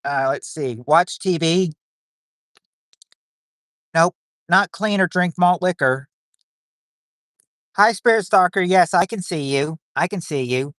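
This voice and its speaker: A man's voice: high-pitched, slow pace, long pauses, a bit bright, no room sound, clean studio sound. A man hosting a livestream of a quiz game, rambling as he reads chat and greets viewers.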